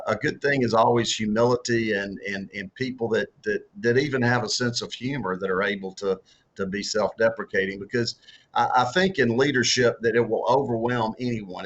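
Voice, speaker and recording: A man's voice: on the low side, a bit bright, highs cut off. A middle-aged man with a strong Southern US accent who answers interview questions about faith and leadership, stumbling and repeating words with many fillers. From Deep South of the US. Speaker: author and former political candidate.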